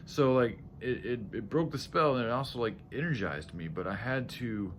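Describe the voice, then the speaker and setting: A man with a groggy, tired voice talking casually to viewers in a halting, self-correcting, sweary ramble, often clearing his throat. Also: mid-range voice, a little hiss or hum. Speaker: vlogger.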